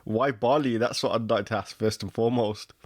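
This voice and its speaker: A man hosting a travel podcast, asking questions in a relaxed way and chiming in with short replies. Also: medium pitch, brisk, dry recording. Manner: relaxed, brief encouraging replies. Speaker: podcast host. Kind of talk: casual interview hosting.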